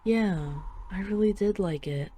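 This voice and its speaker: A woman playing a dragon queen who is tender, gently teasing and possessive in an intimate roleplay audio drama. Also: medium pitch, a little slow, slight background noise, natural voice. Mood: wistful. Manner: affectionate, gently teasing, wistful.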